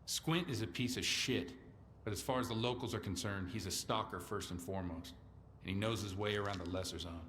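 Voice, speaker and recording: A man playing a hard-nosed armed faction commander in a video game, measured and stern. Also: fairly low pitch, a bit bright, a little hiss or hum, close to an everyday voice. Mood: businesslike. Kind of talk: video game character dialogue.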